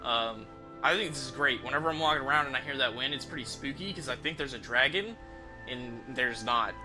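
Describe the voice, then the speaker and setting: A man's voice: mid-range voice, brisk, music in the background. A man giving casual live stream commentary as a game streamer, talking in an informal, enthusiastic, rambling way.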